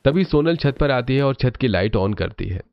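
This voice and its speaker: A man's voice: mid-range voice, expressive, normal speed, creaky, no echo. A man narrating a scripted cartoon family story as the storyteller, on a slightly dull recording.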